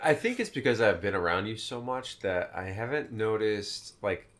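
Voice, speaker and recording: An easygoing man in a casual chat with a friend. His pitch swings widely up and down, and he often restarts his sentences and answers with short agreements. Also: expressive, normal speed, fairly bright tone.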